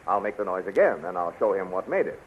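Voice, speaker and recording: Deep, animated, cool-headed captive captain. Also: male voice, fast talker. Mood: bluffing. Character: cool-headed, cunning male captive captain, quietly commanding. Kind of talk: 1930s radio serial acting. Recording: muffled, noisy 1930s radio recording.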